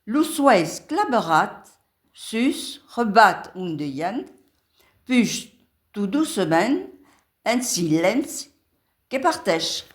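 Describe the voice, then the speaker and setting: A woman's voice: medium pitch, big pitch swings, drawn-out words, long pauses. A woman with a Gascon accent from the south of the Landes, reciting a poem. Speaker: language workshop pupil. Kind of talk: poetry recitation.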